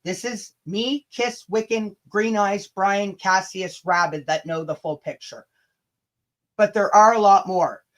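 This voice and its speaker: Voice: medium pitch, unhurried. A livestream host chatting casually and reading messages aloud, blunt and sweary, stumbling and rereading as they go.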